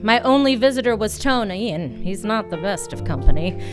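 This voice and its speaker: A woman's voice: medium pitch, expressive, normal speed, over music, lightly acted. A woman playing a dedicated, hard-boiled private detective in a noir radio play, wry and resolute as she narrates. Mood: wry, reflective.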